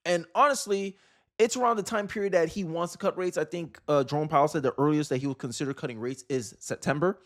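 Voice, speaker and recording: High-pitched man giving casual political commentary. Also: fast talker. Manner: incredulous, rhetorical, repeats phrases for emphasis.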